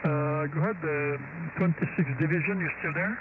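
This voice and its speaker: A man's voice: fairly high pitch, normal speed. A man with a Québécois accent, a native French speaker, chatting casually as an amateur radio operator over a radio link. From Quebec, Canada. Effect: CB or ham radio transmission. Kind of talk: casual radio contact chat. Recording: narrow-band radio signal with loud static.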